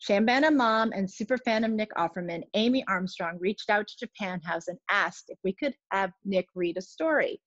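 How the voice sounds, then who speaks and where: A woman's voice: mid-range voice, normal speed, hardly any pauses, a bit bright, no room sound. A middle-aged woman hosting, giving a scripted introduction from her living room at home. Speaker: host introducing a guest reader. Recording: slightly dull, lo-fi sound.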